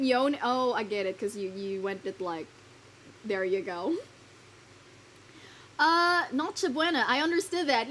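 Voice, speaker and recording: A woman's voice: high-pitched, fairly bright tone, slight background noise. A woman streaming live, chatting loosely with her viewers, laughing often, swearing casually and fumbling her lines. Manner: giggly, rambling, swears casually. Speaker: virtual streamer.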